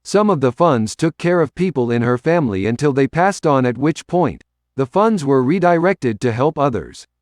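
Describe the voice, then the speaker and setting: A man's voice: mid-range voice, animated, moderate pace, a little mellow, no echo, clean studio sound. A man reading a scripted local history narration.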